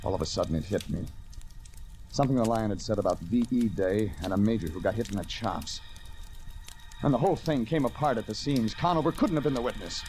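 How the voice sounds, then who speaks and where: Man acting a hardboiled, deadpan radio private eye. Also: fairly low pitch, fairly quick, faint music behind, natural voice. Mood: deadpan narration. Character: tough, cynical male private investigator. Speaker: detective and first-person narrator. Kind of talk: 1940s radio acting, hardboiled detective drama. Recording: noisy old radio recording.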